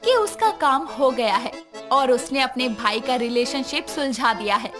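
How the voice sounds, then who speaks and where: A woman's voice: sits high, expressive, unhurried, over music. A woman narrating a scripted story as storyteller.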